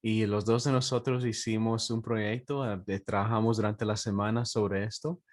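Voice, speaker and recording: A man from Texas speaking as a pastor in an informal devotional, in a halting way with frequent drawn-out hesitations. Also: fairly low pitch, steady tone, darkish tone. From Texas, United States. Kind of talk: informal morning devotional with prayer and personal stories.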